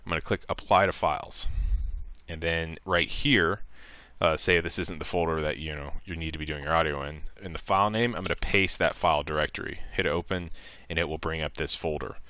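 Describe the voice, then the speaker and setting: A man with a low, clear voice walks through a software tutorial in an informal way. He thinks aloud step by step, sounding bright and close in a dry space. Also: fairly even intonation, fairly quick, dry recording. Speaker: tutorial presenter. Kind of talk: casual screen-recorded software tutorial.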